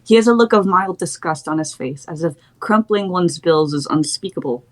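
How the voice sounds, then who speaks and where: A woman's voice: lowish voice, moderate pace. A young adult woman reading stage directions as the narrator of a screenplay.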